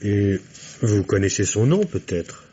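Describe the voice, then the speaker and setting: A man's voice: fairly low pitch, sing-song, a little slow, warm tone, husky, full character voice. An actor playing a courteous, probing male police commissioner in a French radio mystery, questioning politely and patiently. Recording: dull lo-fi radio recording.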